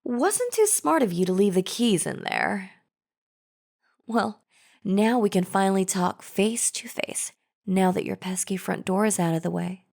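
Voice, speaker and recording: A woman's voice: mid-range voice, expressive, unhurried, creaky, a little mellow. A woman playing a chatty, falsely friendly zombie in an audio roleplay, talking casually and persuasively straight to the listener. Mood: smug. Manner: casual, chummy, persuasive, conversational. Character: chatty female zombie, falsely friendly, coaxing flesh-eater. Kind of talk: scripted audio roleplay, addressing the listener directly.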